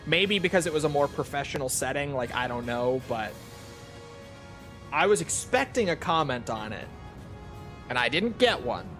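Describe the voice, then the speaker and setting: A man's voice: mid-range voice, big pitch swings, normal speed, pauses often, a bit bright, soft background music. A man streaming a game and chatting casually with viewers in a rambling, self-deprecating, casually profane way. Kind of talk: casual livestream chat, replying to viewers.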